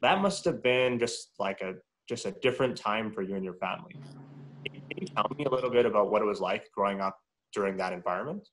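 A man's voice: lowish voice, animated, fairly bright tone, rough recording. A young adult man co-hosting a podcast interview, rambling with lots of fillers. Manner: fast, rambling, many fillers. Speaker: podcast co-host.

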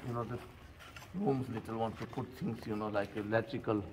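A man guiding visitors around an unfinished concrete building, talking haltingly and filling every gap with "you know". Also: fairly low pitch, steady tone. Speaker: tour guide. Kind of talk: guided walking tour. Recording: echoey, distant, noisy background.

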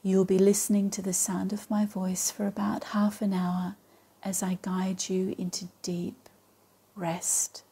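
A woman with a soft, breathy voice leading a guided meditation in a calm, measured way with long pauses. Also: medium pitch. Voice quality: soft, breathy, partly whispery. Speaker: yoga nidra meditation guide. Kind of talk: guided meditation, slow and soothing instruction.

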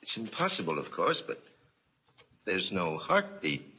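An actor playing a husky, disbelieving doctor who stammers dramatically in a 1940s radio drama. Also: male voice, sits low, wildly swooping pitch, a little slow, bright, forceful tone, full character voice. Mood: aghast. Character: startled, disbelieving male doctor. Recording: muffled 1940s radio recording.

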